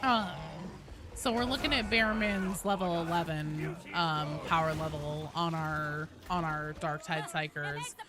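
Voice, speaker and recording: A woman game streamer casually explaining lore in a halting, thinking-aloud way. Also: low voice. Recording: clearly noisy background.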